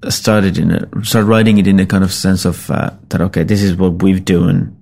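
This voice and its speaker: A middle-aged Finnish-born man with a Finnish accent, a rock musician talking casually in English, his second language, in a halting, rambling, self-deprecating way. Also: deep voice, little pitch movement, vocal fry, darkish tone. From Finland. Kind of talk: casual spoken account.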